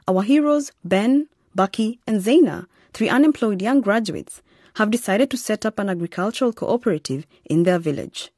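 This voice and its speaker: A woman's voice: medium pitch, darkish tone, breathy edge, no room sound, clean studio sound. A woman reading scripted narration to introduce a radio programme.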